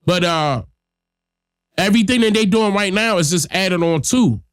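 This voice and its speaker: A man's voice: high voice, lively intonation, moderate pace, clean tone, dry recording, studio quality. A man hosting a podcast, giving blunt, emphatic casual commentary straight to his listeners while getting over a cold that leaves him stuffy-nosed. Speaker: podcast host.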